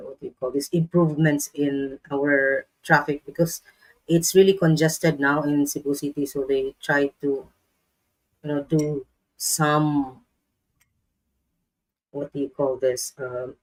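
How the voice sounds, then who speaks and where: A woman with a Filipino accent, chatting as a guest in a live-stream interview, laughing often and pausing to search for words. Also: low-pitched, a little mellow, rough recording. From Philippines.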